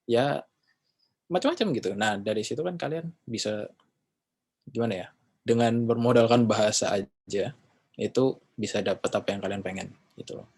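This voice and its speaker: A man's voice: fairly low pitch, normal speed, pauses often, a little mellow, husky. A man giving a casual class talk, rambling and often correcting himself with chatty fillers. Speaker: English class speaker.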